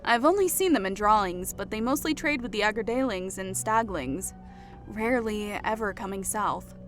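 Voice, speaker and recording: A man's voice: high voice, normal speed, soft background music, voice pushed up. A man voicing a playful, teasing young woman companion in a raised, lighter tone. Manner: lively, teasing.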